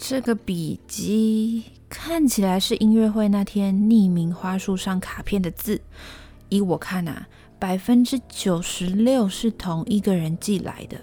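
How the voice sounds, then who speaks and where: A woman playing an observant, considerate young female office worker in a radio drama, in a measured, matter-of-fact way. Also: medium pitch, mellow. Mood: curious, concerned.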